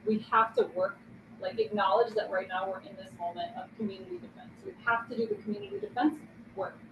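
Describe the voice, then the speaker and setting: A woman's voice: mid-range voice, lively intonation, fast, recorded from a distance, lo-fi sound. Emphatic woman on a noisy, roomy webinar. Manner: emphatic, checks in with "right?". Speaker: panelist, organizer. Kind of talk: informal webinar discussion.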